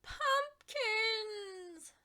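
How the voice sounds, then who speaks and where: A woman's voice: very high-pitched, lively intonation, drawn-out words, pushed and bright, pitched above the performer's own voice, full character voice. A woman reading a storybook, voicing a stubborn, fussy woman gardener in an emphatic, grumbling manner. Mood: indignant, disgusted.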